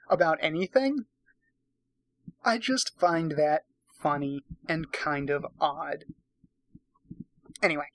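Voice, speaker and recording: A man's voice: a little high, a bit bright, no room sound, rough recording. A tipsy man hosting a casual comic read-aloud, narrating and breaking off for sweary, sarcastic commentary. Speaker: host, narrator.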